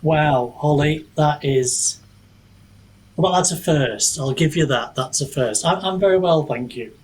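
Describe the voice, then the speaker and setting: A man's voice: on the high side, measured pace, a little hiss or hum. A man speaking casually as a guest author in a podcast interview, self-deprecating and a little rambling as he feels for his words. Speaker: guest author, fantasy writer and book reviewer.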